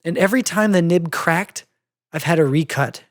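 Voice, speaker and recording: A story reader voicing a calm, matter-of-fact female cartoon pony, measured and reasonable as she explains. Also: mid-range voice, close to an everyday voice. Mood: wistful.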